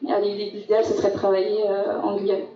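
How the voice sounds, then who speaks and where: Flat-voiced young woman from French Guiana. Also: mid-range voice, fast talker, crowd noise. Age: young adult. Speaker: master's student. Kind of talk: presenting her studies. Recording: dull roomy sound from far off.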